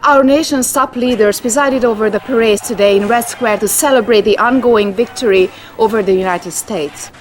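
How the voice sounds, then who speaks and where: A woman's voice: fairly high pitch, normal speed, few pauses, lightly acted. A woman playing a proud state propaganda newsreader, formal and declamatory, in a scripted broadcast for a video game cutscene. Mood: proud, official.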